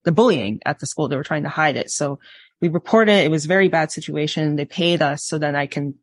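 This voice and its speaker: A woman in her twenties sharing personal experience candidly as an interview guest, pausing to choose her words. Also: sits low, no echo. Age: 20s.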